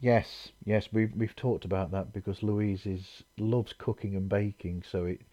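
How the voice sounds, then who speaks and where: A man hosting a podcast, chatting in an easygoing, agreeable, measured way. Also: lowish voice, steady tone, mellow, dry recording. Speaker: podcast host. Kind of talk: casual chat. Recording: dull lo-fi audio.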